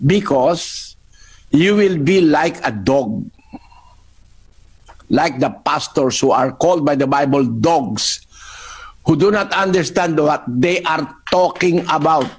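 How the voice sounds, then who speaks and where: A man with a Filipino accent answering a Bible question in a sermon, slow and emphatic, repeating his key phrases. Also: fairly high pitch, lively intonation, breathy edge. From Philippines.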